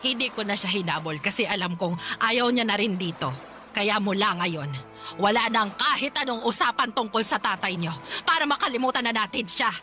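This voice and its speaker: A woman's voice: mid-range voice, expressive, normal speed, bright, forceful tone. An actress playing a stern, weary old grandmother in a radio drama, speaking bluntly. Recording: music playing behind.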